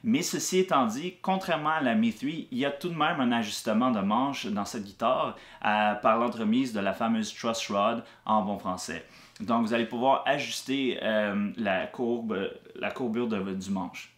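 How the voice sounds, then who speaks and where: A man giving a casual product review of a guitar, relaxed and hesitant with frequent fillers. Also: mid-range voice, moderate pace. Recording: echoey room.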